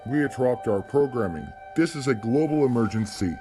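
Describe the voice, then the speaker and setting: A man's voice: medium pitch, lively intonation, fairly quick. A man making a grave, formal emergency alert announcement, stumbling now and then. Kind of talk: mock emergency alert broadcast. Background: loud music.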